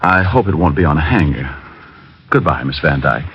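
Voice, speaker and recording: An actor playing a wisecracking private detective who also narrates, with dry sarcastic humor, in a 1950s radio drama on a dull old broadcast recording. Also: male voice, very deep voice, animated, normal speed, background noise. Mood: wry.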